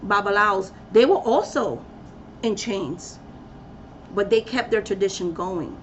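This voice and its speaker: A woman speaks in a fairly high voice, preaching with blunt, rallying emphasis. The sound is dull and lo-fi, with a faint hiss underneath. Her delivery is fervent and direct. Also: fairly bright tone. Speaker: spiritual practitioner giving a talk. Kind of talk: impassioned personal rant to camera.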